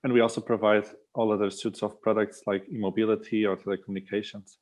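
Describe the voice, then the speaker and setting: A man's voice: fairly low pitch, little pitch movement, quick pace, darkish tone, highs cut off. A man giving a conversational, informative business explanation as a podcast guest. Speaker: podcast guest, product manager. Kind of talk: conversational company introduction.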